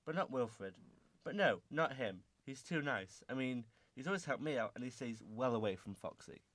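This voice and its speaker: A man playing a gossipy circus worker who is quick to retort, in an amateur radio drama. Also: mid-range voice, expressive, fairly bright tone, dry recording, natural voice. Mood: confiding.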